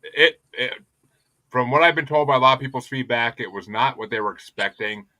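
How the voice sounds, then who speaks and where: A man hosts a casual music podcast, cursing freely and rambling through his opinions. His voice has a bright, forward edge. The sound is dry and close to the mic. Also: mid-range voice, brisk, no echo. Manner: profane, animated, rambling. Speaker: podcast host.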